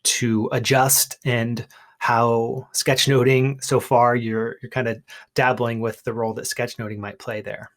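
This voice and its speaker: A man's voice: medium pitch, little pitch movement, measured pace, fairly bright tone. A man hosting a podcast and coaching in a casual, conversational way.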